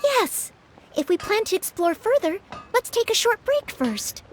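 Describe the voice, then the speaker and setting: A woman's voice: extremely high pitch, sing-song, unhurried, a little hiss or hum, exaggerated voice. A woman voicing a polite, eager young maid in a video game, speaking in a sweet, earnest, courteous way.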